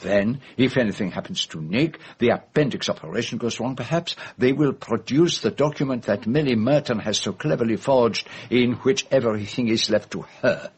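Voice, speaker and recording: Fussy, theatrical foreign detective, slightly husky. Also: male voice, medium pitch, moderate pace, hardly any pauses. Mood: measured explanation. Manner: precise, theatrical, formal phrasing with French forms of address. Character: fussy, shrewd foreign gentleman detective explaining a crime. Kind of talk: radio detective drama acting.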